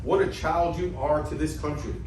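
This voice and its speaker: An anxious, scheming old father in an amateur stage reading acted by a man. He exclaims theatrically and trips over words in a fairly high voice. The sound is roomy, off-mic, with faint noise. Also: moderate pace, natural voice. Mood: doting. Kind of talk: amateur dramatic play reading. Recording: echoey room, distant mic, faint noise.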